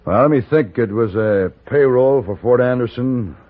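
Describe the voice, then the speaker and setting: A man playing a cool, shrewd gun-for-hire in an old-time western radio drama, measured and wry. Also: mid-range voice, lightly acted. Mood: probing. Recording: muffled, crackly old radio recording.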